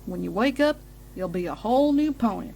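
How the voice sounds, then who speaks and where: A woman's voice: medium pitch, expressive, brisk, gravelly, background noise. A woman voicing an adult pony big sister who coaxes with sinister reassurance in a dramatic fan fiction reading. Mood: soothing, sinister. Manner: coaxing, falsely reassuring. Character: adult pony big sister, sweetly soothing yet sinister cult initiator.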